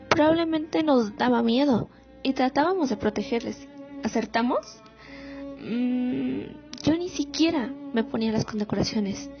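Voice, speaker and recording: A woman playing a proud, wounded female war veteran recounting memories in a radio drama monologue. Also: fairly high pitch. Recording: muffled audio with music underneath.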